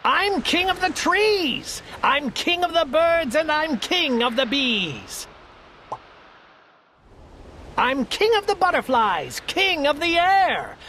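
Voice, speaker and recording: A woman voicing a boastful, tyrannical male turtle king in a storybook reading, loud and grandiose. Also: sits high, sing-song, slow pace, hardly any pauses, a little hiss or hum, pitched above the performer's own voice, full character voice. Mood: gloating.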